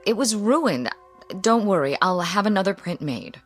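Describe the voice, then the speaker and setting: An actress playing an exasperated wife in a radio drama, brisk and dismissive but placating her husband. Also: mid-range voice, lively intonation, faint music behind, lightly acted. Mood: impatient.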